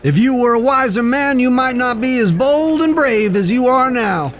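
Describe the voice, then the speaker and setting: A jovial frontier marshal, played by a man, pitched very high for a male voice. He talks in folksy, salty cowboy phrases, as in a Western audio drama. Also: moderate pace, fairly bright tone. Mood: wry. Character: jovial, foul-mouthed frontier US marshal, old frenemy.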